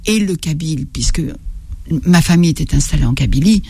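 An older woman from Algeria, a writer, talking slowly and reflectively in an interview, often stopping to search for her words. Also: sits low, lively intonation, gravelly, darkish tone, slightly rough, no room sound. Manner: halting, searching for words, many restarts.

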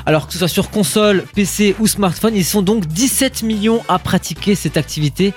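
A man's voice: sits high, a little fast, faint music behind. A man co-hosting a morning radio show, playful and laughing a lot as he reads out facts. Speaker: radio co-host. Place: radio studio.

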